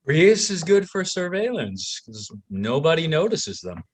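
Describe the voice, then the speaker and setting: A man plays a cocky, teasing private investigator. His voice sits a bit high for a man and moves in lively swings, with a dry close sound, in a table-read style. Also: unhurried, slightly rough, no room sound, heavily acted.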